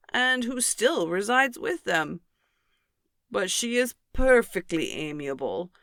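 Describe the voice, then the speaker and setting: A pompous, self-important clergyman from a classic novel, acted out by a woman, fawning in every phrase. He speaks slowly and deliberately, with a creaky edge, close and dry. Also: lowish voice, a bit bright, no room sound, heavily acted. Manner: pompous, slow and deliberate, formal wording. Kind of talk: audiobook character reading.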